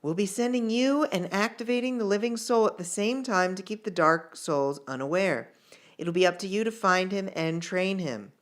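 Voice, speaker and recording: A man's voice: high voice, animated, moderate pace, a bit bright. A man reading the part of a passionate, reassuring female army officer in a fantasy story. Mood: confident.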